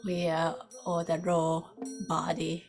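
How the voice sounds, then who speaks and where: Female meditation teacher, lowish breathy voice, very slow and soft with long pauses. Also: completely flat delivery, over music. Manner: soft, unhurried, with long pauses between short phrases. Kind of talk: guided meditation.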